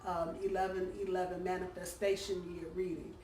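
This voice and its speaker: Hoarse woman reading tarot, distant mic. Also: fairly low pitch, flat delivery, brisk, faint music behind. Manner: chatty, warm-hearted, lots of ums and uhs. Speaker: tarot reader. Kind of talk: casual weekly tarot reading. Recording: roomy, distant from the mic, faint background hum.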